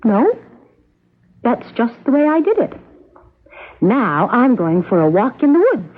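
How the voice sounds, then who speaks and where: An actress playing a merry, restless, willful farm daughter with childlike, headstrong bluntness in a radio drama. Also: female voice, fairly high pitch, sing-song, measured pace. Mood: innocent, bright. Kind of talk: 1970s radio drama acting. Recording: dull lo-fi radio broadcast recording.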